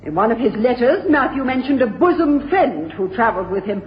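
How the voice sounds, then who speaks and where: A woman's voice: fairly high pitch, animated, brisk, slight background noise, close to an everyday voice. An actress playing a proud, impassioned upper-class Victorian woman in a radio drama, formal and emphatic. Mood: firm, defiant. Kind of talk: courtroom radio drama acting. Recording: dull radio recording, long hall echo.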